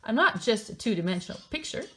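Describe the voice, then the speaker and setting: A woman's voice: fairly high pitch, expressive, quick pace. A woman reading a book aloud in a theatrical, mocking way, breaking into casual, sardonic asides of her own.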